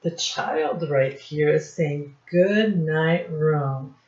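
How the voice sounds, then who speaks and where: A woman teacher reading a picture book aloud to young children in deliberate, separated phrases, asking them questions as she goes. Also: low voice, a little mellow. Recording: dull, muffled lo-fi recording.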